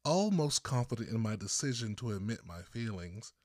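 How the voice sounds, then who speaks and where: A man from Texas reading his own first-person story as an audiobook narrator, with deliberate phrasing and pauses. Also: fairly low pitch, very animated, a little mellow, no room sound, clean studio sound. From Texas, United States.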